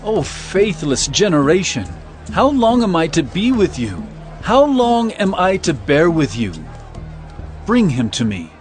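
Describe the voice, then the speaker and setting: Stern, solemn male teacher played by a man, commanding, widely varied pitch, audio Bible drama over soft music. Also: sing-song. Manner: solemn, commanding, deliberate emphasis.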